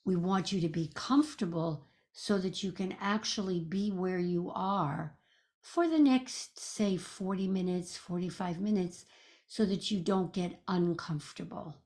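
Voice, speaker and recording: A woman leading a guided meditation as a teacher, speaking in a gentle, soothing, measured way with pauses. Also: fairly low pitch.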